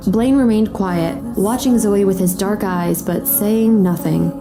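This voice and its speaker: A woman delivers dramatic scripted narration for an audio drama. She is measured and suspenseful at a middle pitch, with music clearly behind her.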